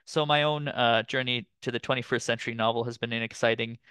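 A man's voice: medium pitch, fast, smooth, no room sound. Canadian-born man giving an online talk. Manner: relaxed, chatty, frequent fillers and "too". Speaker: guest lecturer. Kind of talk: online academic presentation. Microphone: computer mic on video call.

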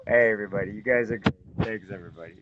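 A man's voice: fairly low pitch, racing. A man in his forties, a father chatting casually to viewers on his phone at home, laughing while his daughter plays barber. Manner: laughing, playful, talks to viewers. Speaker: vlogger, father. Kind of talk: casual home video chat. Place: dark room at home, indoors. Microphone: phone at arm's length. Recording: dull phone audio with faint noise.

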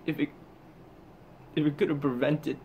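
A man performing a dramatic monologue as a haunted, guilt-ridden soldier back from war, halting and intense, with heavy pauses. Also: a little high, flat delivery, gravelly, a little mellow, a little hiss or hum. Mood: anguished, disillusioned.

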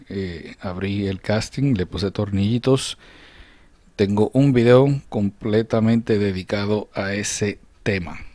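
A man narrating a casual hands-on demonstration as a channel host, often halting to search for words. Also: on the low side, darkish tone, no room sound. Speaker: host of a diecast restoration channel. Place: indoors at a hobby table.

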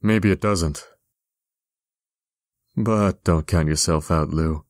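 Woman as a very deep, slow fire-man bartender. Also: darkish tone, dry recording, clean studio sound, exaggerated voice. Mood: patient, firm. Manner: firm, deliberate, matter-of-fact. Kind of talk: fan comic dub acting.

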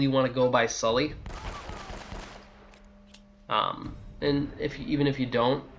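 A man's voice: medium pitch, highs cut off. Exasperated man commentating over game music. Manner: exasperated, sweary griping. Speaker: video game walkthrough host. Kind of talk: casual gameplay commentary.

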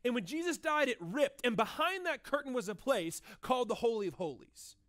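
A man with a notably high voice preaches from a church stage. He talks in an easy, conversational way, often checking in with "right?", and gets loud and lively at his high points before dropping soft again. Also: brisk. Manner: animated, conversational preaching with frequent "right?" asides. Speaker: pastor. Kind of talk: sermon. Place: church stage with band gear.

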